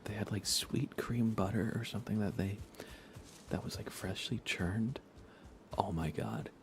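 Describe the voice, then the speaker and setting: A soft-spoken man chatting casually on an ASMR gaming livestream, rambling unhurriedly and often slipping toward a whisper. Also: fairly low pitch, measured pace, vocal fry, slight background noise. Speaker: livestreamer playing a video game.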